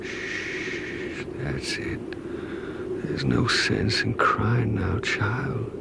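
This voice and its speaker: An actor playing an exhausted American pioneer in a radio drama, his voice weak and breathy. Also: lowish voice, very slow, very noisy, heavily acted. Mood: weary, soothing. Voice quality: breathy, whispered. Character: exhausted American pioneer soothing a child in the desert.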